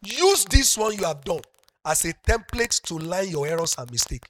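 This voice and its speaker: A middle-aged Nigerian pastor with a Yoruba-speaking accent preaching a sermon, moving from soft talk up to loud, passionate shouting. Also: male voice, sits high, big pitch swings, normal speed, slight background noise.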